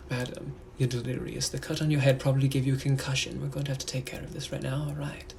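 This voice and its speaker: A young man putting on a British accent to play a suave, menacing nineteenth-century gentleman, talking smoothly, often half-whispered, and calling everyone darling. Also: medium pitch, flat delivery, brisk, slight background noise, lightly acted. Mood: soothing, firm. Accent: British, put-on. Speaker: sketch creator. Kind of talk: POV comedy sketches.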